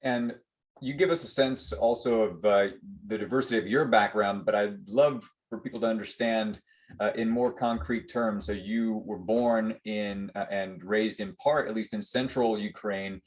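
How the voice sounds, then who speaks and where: A man's voice: on the low side, normal speed, poor audio. A man hosting an interview, asking questions thoughtfully with slight hesitations. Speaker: interview host.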